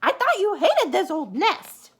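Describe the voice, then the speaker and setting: Devoted little husband bird played by a woman, storybook style, high and very expressive. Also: measured pace, slight background noise, exaggerated voice. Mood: astonished.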